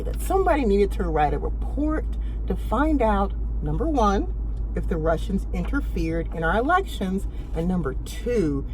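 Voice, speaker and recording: A woman's voice: mid-range voice, a little mellow, slight background noise, low-quality recording. An American woman explaining things casually and comically as a show host, playfully putting on mock voices for the people she quotes.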